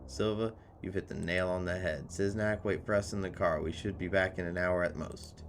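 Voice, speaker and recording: A man's voice: deep voice, fairly even intonation, moderate pace, slight background noise. A man voicing a calculating, businesslike boss of a robber gang in a visual novel game. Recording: music behind the voice.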